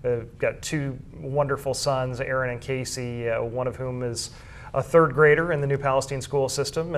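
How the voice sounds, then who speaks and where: A man answering interview questions as a school sports information director, conversational, measured and explanatory. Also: mid-range voice, a little hiss or hum.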